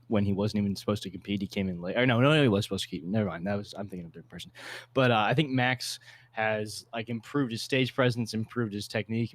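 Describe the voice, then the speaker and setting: A man casually explaining his predictions at length as a podcast panelist, with plenty of opinions. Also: fairly low pitch. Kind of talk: casual discussion. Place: online call, talking at a computer.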